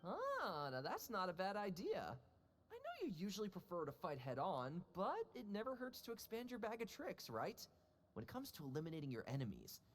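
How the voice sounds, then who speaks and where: A woman voicing a quietly confident young female assassin in a video game, with a measured, dry manner. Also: low-pitched. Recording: game audio with music behind. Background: game music.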